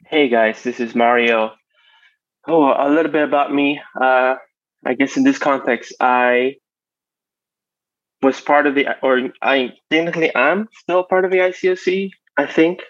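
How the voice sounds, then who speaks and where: A man's voice: mid-range voice, fairly even intonation, measured pace, fairly bright tone, no room sound. A man in his thirties from Mexico, chatting casually as a panel guest on slightly lo-fi audio. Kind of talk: casual self-introduction.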